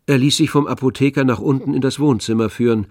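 A man serves as narrator of a literary radio drama. He speaks at a middle pitch, in a measured and grave manner. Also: a little mellow, no room sound, studio quality.

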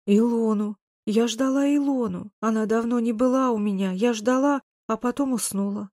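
A woman's voice: fairly high pitch, normal speed, mellow, no room sound, natural voice. A woman reader acts a frail old woman in a story, muddled and easily scared. Her talk is a plaintive mutter. The recording is dry and close. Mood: dreamy, plaintive.

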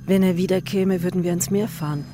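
A woman's voice: fairly low pitch, steady tone, brisk, music in the background, lightly acted. An actress playing an intense, weary, anguished woman in a literary radio drama. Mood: weary, yearning.

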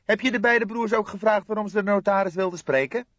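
A man reading an audiobook, voicing an excitable young police detective who questions eagerly and indignantly. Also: sits high, fast, no echo, muffled recording, pitched above the performer's own voice. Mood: eager, thoughtful.

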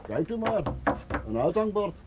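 A man's voice: sits high, sing-song, a little slow. An actor playing a humble coachman in a Dutch radio play, deferential and hesitant, on a muffled, noisy old recording. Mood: uneasy, respectful.

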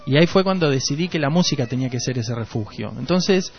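A man's voice: medium pitch, expressive, quick pace. An Argentine man with a Rioplatense accent being interviewed as a musician, speaking reflectively and earnestly, rephrasing as he goes. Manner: earnest, rephrases and repeats for emphasis. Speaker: musician and producer, radio guest. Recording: dull lo-fi radio, faint music behind.

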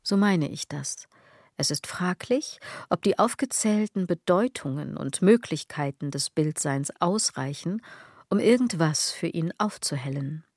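A low-pitched, sardonic woman delivers a literary radio monologue in a close, dry sound. She is deliberate and declamatory, musing straight at the listener and letting phrase ends sink almost to a whisper. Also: expressive, darkish tone, dry recording. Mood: wry, reflective. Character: musing, sardonic female speaker addressing the listener directly.

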